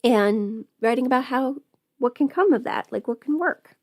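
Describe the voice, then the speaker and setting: A woman's voice: high voice, lively intonation, normal speed, darkish tone, no room sound, studio quality. A woman who is sick with a cold, chatting casually on a livestream in a self-deprecating, playfully sarcastic way. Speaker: audio script performer hosting a livestream. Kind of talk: casual rambling livestream chat.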